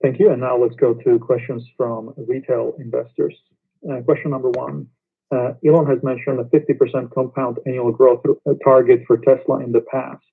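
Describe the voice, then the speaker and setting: Flat, creaky male call moderator. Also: mid-range voice, normal speed. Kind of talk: reading out submitted questions. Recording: muffled, lo-fi conference call audio.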